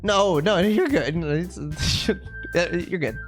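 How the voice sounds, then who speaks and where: Lowish-voiced woman streaming a game. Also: slow pace, faint music behind. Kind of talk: casual live commentary and narration of a visual novel.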